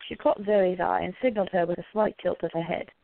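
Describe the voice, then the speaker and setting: Creaky-voiced woman narrator. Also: lowish voice, steady tone, fast, no room sound, phone-quality sound. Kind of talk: scripted story narration of a radio play.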